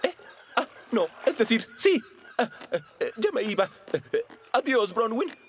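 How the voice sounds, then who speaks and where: A man's voice: very high-pitched, big pitch swings, measured pace, exaggerated voice. An actor voicing a modest, bumbling fisherman dad in a Latin American Spanish children's cartoon dub. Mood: flustered. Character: modest, awkward fisherman dad eager to seem brave. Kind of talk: animated children's series dub. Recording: loud music behind the voice.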